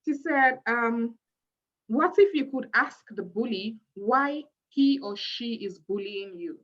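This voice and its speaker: A woman hosts an online youth session and reads chat questions to the group in a friendly tone. Her voice is a little high. The audio is dull, like a video call. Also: animated, takes it slowly. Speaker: host.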